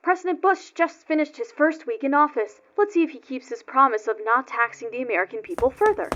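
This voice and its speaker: A woman playing a brisk, formal news announcer in an animated series, in dull broadcast sound. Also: sits high. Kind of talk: scripted newsreading.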